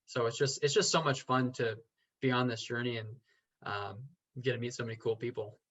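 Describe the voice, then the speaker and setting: A man talks as a podcast guest, earnest and halting, often stopping to restart a sentence. His voice has a middle pitch. The audio is slightly dull and lo-fi. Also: a bit bright. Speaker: podcast guest, real estate investor. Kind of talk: casual interview chat.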